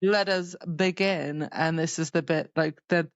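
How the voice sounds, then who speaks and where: A woman with a fairly low voice talks as a podcast co-host in loose, informal book chat, dropping wry asides. The recording is dry and a little muffled, like a modest microphone. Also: fairly even intonation, measured pace, no echo. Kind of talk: casual book discussion chat. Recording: dull, slightly lo-fi microphone sound.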